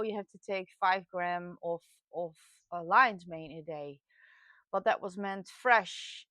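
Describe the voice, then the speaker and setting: A woman in her late forties from the Netherlands with a Dutch accent, telling her own experience casually and candidly, often pausing to search for words. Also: mid-range voice, a bit bright, no room sound. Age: late 40s. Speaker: vlogger sharing personal experience. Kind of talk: casual personal talk to camera.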